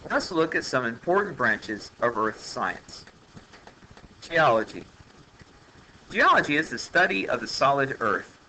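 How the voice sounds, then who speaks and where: A man's voice: medium pitch, sing-song, unhurried, long pauses, pushed and bright, a little hiss or hum, low-quality recording. A man reading an educational science text aloud like a teacher, in a plain manner with occasional stumbles. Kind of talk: educational read-aloud narration.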